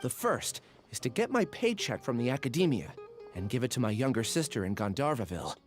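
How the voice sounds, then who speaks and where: A troubled young amnesiac from a video game acted by a man, polite and unsure. His medium-pitched voice moves widely and hesitantly, with faint music behind. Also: big pitch swings, a little fast, natural voice. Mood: uncertain. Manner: hesitant, apologetic, earnest.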